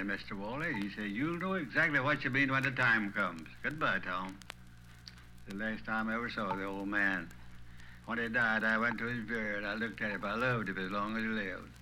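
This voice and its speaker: A man's voice: on the low side, bright, forceful tone, slight background noise, rough recording. An older man with a Tennessee Southern accent tells stories from his life in a folksy way, acting out remembered conversations. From Tennessee, United States. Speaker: retired small-town banker telling his memories. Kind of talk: oral history storytelling.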